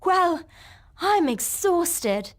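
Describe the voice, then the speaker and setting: A woman's voice: very high-pitched, very animated, moderate pace. A woman playing an earnest young schoolchild in a video game, with a British accent. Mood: tired, concerned. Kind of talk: video game cutscene acting.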